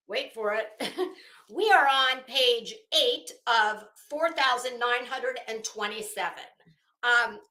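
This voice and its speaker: A woman hosting a livestream, rambling casually in an animated, theatrical, self-mocking way. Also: a little high. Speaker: livestream host. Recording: echoey, roomy sound.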